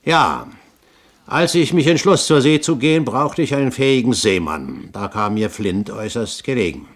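A man's voice: mid-range voice, lively intonation, moderate pace, natural voice. Man playing a pompous, aristocratic old pirate captain. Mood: confiding, scheming. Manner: eloquent, pompous, theatrical phrasing. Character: aristocratic older pirate captain, proud, cunning, grandiose schemer. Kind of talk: 1970s German radio drama acting.

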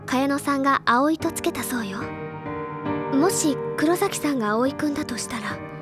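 Bookish high-school girl, played by a woman, high register, earnest and literary storyteller for audio drama, loud music underneath. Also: lightly acted. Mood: intent reasoning. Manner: deliberate, literary, feminine phrasing. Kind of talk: Japanese audio drama acting, dramatic explanatory monologue. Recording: loud music bed behind the voice.

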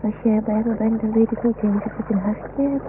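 A woman's voice: on the high side, fairly even intonation, a little fast, lightly acted. A woman playing a small, devoted toy dog in an old radio drama, childlike and eager to please. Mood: trusting, wistful. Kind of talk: 1960s Dutch radio drama acting. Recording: noisy, muffled old radio recording.

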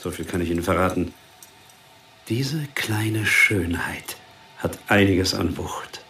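Crime radio play ballistics man: matter-of-fact, explanatory, low voice, roomy and slightly distant. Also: slow, bright, forceful tone, heavily acted. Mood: dryly expert. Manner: precise, explanatory. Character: expert male ballistics examiner, precise and matter-of-fact. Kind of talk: radio crime drama dialogue. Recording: echoey room, off the mic.

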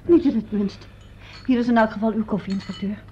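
A woman's voice: mid-range voice, fairly even intonation, fairly quick, dark tone, lightly acted. An actress playing a polite, obliging woman in a radio play, attentive in manner. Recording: dull, faintly noisy old radio recording.

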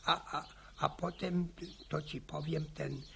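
Very creaky old village peasant. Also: male voice, a little high, fairly even intonation, fairly bright tone, a little hiss or hum, exaggerated voice. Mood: lively, teasing. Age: older. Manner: halting, stammering repetitions, slow. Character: talkative old village peasant man, folksy, teasing, bossy. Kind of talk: radio drama dialogue.

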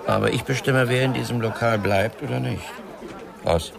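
Radio drama: no-nonsense barkeeper, heavily creaky lowish voice, crowd noise around him. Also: male voice, fairly even intonation, moderate pace. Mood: stern. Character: stern, no-nonsense male barkeeper. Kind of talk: crime radio play acting. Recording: crowded room noise behind.

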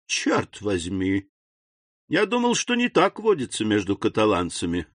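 A man plays a calculating storybook villain. He talks deliberately, slipping between scorn and false friendliness, mocking and insinuating, in a dry, close sound. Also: fairly low pitch, wildly swooping pitch, no echo, full character voice.

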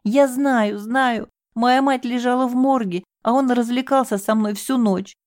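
Woman voicing a shrill, desperate young woman. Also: moderate pace, warm tone, no room sound, clean studio sound, natural voice. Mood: furious. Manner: sobbing, shrill, desperate pleading. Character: distraught young woman, hysterical and bitter.

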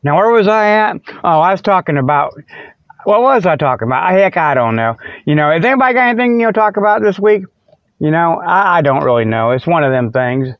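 A man's voice: on the high side, very animated, fairly quick, no room sound. A middle-aged American man hosting a podcast, delivering a folksy, colloquial, rambling comedic monologue as casual chat. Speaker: podcast host. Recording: slightly dull lo-fi, dry sound.

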